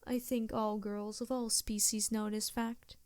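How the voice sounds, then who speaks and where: A woman's voice: medium pitch, level tone, measured pace, darkish tone, no echo, natural voice. A woman playing a vain, seductive succubus demon in an ASMR roleplay, languid, teasing and theatrical. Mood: smug, playful. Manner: languid, flirtatious, theatrical, sighing. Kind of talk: ASMR roleplay, improvised character acting.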